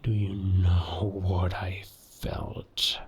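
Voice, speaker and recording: A man playing a menacing, grandiose werewolf king, speaking theatrically in a cinematic audio roleplay monologue, contemptuous and deliberate. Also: deep voice, level tone, slight background noise. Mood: hushed awe. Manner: slow, theatrical, deliberate, with hushed dramatic pauses.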